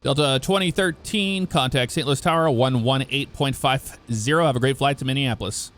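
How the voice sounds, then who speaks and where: A man's voice: medium pitch, normal speed, fairly bright tone. A man working as a ground controller, giving clipped, procedural air traffic control instructions. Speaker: air traffic ground controller in an online flight simulation network.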